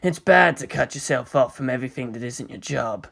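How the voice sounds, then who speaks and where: A narrator voicing a laid-back, flirtatious male director in an easygoing, low-key way for an audiobook. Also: animated, fairly quick, vocal fry, fairly bright tone. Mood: teasing, gentle.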